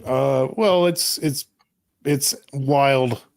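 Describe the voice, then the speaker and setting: A man's voice: mid-range voice, very slow, vocal fry, a little mellow, no room sound, studio quality. A man speaking as a game master, explaining casually with firm opinions and short, clipped asides.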